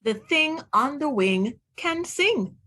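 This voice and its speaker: Slow, high-voiced woman reading to children. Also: sing-song, sharp tone. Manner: deliberate word-by-word enunciation, asks the listener questions. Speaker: teacher reading aloud to young children. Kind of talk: read-aloud phonics lesson for children.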